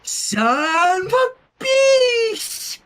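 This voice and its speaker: A performer voicing a proud, mischievous baby girl pony who loudly parrots words, in an amateur cartoon fanfiction reading. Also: extremely high pitch, big pitch swings, very slow, soft background music, pitched above the performer's own voice, exaggerated voice. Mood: gleeful, proud.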